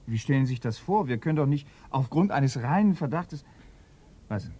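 A courteous and earnest police assistant in a radio crime play. His voice is husky and mellow, with a lively melody, and he speaks hesitantly, feeling his way through sentences. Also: medium pitch, normal speed. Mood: flustered. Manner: hesitant, courteous, repeats himself. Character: polite, hesitant, earnest male police detective assistant, bird enthusiast. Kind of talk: German radio drama acting.

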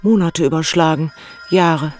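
A woman's voice: low-pitched, unhurried, darkish tone. An actress playing a restless, questioning woman in a poetic radio play, speaking in short clipped phrases. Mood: restless, bleak. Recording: music under the voice. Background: music.

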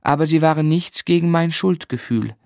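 An actor playing a reflective grown man who narrates his unhappy childhood in a German radio play, measured and grave. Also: a little high, creaky, a little mellow, no echo, studio quality. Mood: pained.

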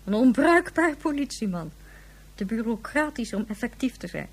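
Stern, husky female head of government. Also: fairly high pitch, sing-song, full character voice. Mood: formal, critical. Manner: formal, disapproving, measured. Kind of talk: science fiction radio play acting.

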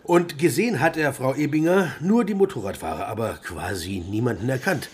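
An actor playing an officious, guarded police inspector in a radio crime drama, clipped and formal, with a verbal tic of filler words. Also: male voice, mid-range voice, expressive, brisk, husky. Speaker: police detective.

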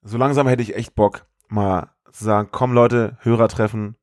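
A man co-hosting a podcast, chatting casually about films in an animated, incredulous way full of colloquial exclamations. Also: fairly low pitch, normal speed. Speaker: podcast co-host. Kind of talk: casual film review chat.

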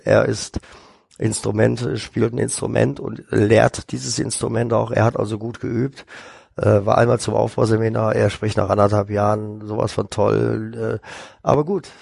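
A man's voice: mid-range voice, creaky, darkish tone, no echo, studio quality. A man talking as a stuttering therapist in a casual podcast chat, rambling, with many fillers and restarts.

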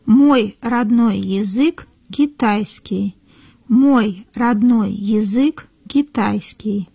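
Slow, careful woman reading model sentences. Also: mid-range voice, vocal fry, warm tone, slightly rough, no echo. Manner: careful, deliberate, repeats each phrase. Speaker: native-speaker model reader.

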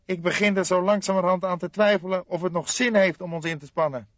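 A man's voice: high-pitched, steady tone, fast, no echo, highs cut off, pitched above the performer's own voice, close to an everyday voice. A man voicing an irritable, sardonic young police detective in an audiobook. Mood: gloomy. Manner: exclamatory, gloomy, sardonic. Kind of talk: audiobook character voice.

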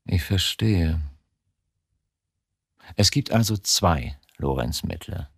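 Probing detective chief, husky, lively intonation, troubled, insistent questioner, radio drama. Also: male voice, sits low, slow pace, no echo, clean studio sound, heavily acted. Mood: brooding. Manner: interrogating, insistent short questions.

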